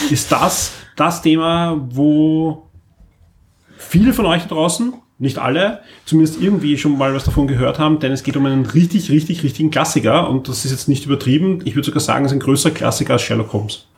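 A man's voice: on the high side, brisk, slight background noise. A man hosting a podcast in casual chat, speaking emphatically and often repeating words for stress. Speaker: podcast host.

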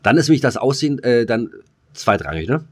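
A man's voice: medium pitch, very animated, fairly quick. A man hosting a podcast in casual, joking banter, rambling colloquially and often repeating his words. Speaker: podcast host.

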